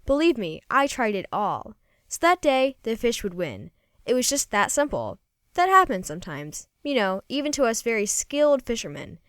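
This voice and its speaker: A woman reads a children's story aloud with lively, swooping intonation. Her voice is fairly high and smooth, and she tells the tale in a folksy, expressive first-person way. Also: moderate pace, no room sound, studio quality. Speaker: storybook reader.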